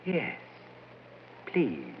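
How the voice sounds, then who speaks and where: An actor playing an eerie, sorrowful dead madman poet with a slow, portentous, haunting delivery in old-time radio horror style. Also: mid-range voice, huge pitch swings, full character voice. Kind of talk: 1940s radio horror acting. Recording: muffled, hissy old radio recording.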